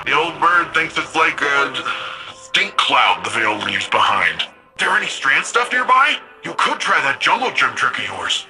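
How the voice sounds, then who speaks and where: An actor playing a young, cocky, laid-back futuristic hero in a video game, talking in a breezy, slangy way. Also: male voice, a little high, big pitch swings, a little slow, bright, forceful tone, heavily acted, rough recording. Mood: upbeat, breezy. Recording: music behind the voice.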